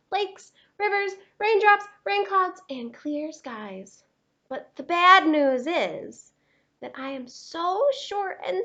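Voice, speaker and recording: A woman voicing a short, stubby, overworked blue crayon in a storybook, earnest and drawn-out in delivery. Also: high-pitched, fairly bright tone, highs cut off, full character voice.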